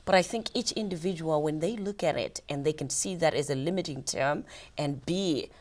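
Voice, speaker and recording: A woman with a Zimbabwean accent hosting a conversational interview, often pausing to rephrase as she goes. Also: deep voice, darkish tone. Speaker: podcast host and interviewer.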